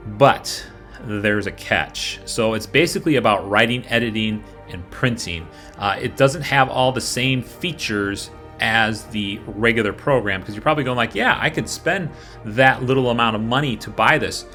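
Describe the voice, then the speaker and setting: A man presenting a casual software review, explaining things informally and speaking straight to the viewer. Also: mid-range voice, moderate pace. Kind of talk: casual product walkthrough over screen capture. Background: background music.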